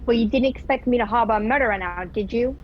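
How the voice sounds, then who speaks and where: A woman playing a prim, sly lady, slightly high. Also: fast talker, lightly acted. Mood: betraying, tart. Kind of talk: radio play acting. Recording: dull, noisy home video call.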